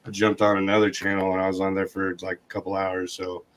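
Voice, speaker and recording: A man hosting a podcast in casual chat, his voice hoarse and scratchy from a long night of talking. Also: low-pitched, monotone, fast. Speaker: podcast host.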